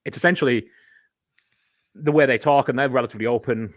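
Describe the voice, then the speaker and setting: A man's voice: medium pitch, quick pace, no echo. Guy on a podcast rambling through examples, mid voice, dry room. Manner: conversational, explains at length with examples. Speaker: podcast guest. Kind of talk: casual podcast chat.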